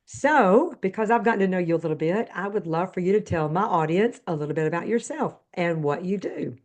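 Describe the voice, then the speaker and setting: A woman's voice: lowish voice, expressive, a little fast. A woman hosting a faith-based podcast interview, chatty and a little rambling. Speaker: podcast host.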